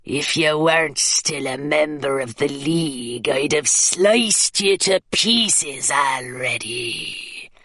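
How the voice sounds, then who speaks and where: A woman voicing a menacing male pirate who speaks in a low, threatening whisper. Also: animated, slow, fairly bright tone, dry recording, studio quality, full character voice.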